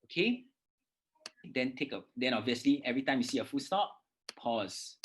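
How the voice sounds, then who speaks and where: A Singaporean teacher giving a reading-aloud lesson, enunciating words deliberately and emphatically and often checking in with the listener. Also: medium pitch, lively intonation, a little hiss or hum. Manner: instructive, often checking with "okay" and "right". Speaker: English oral exam teacher. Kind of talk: instructional lesson with read-aloud demonstrations.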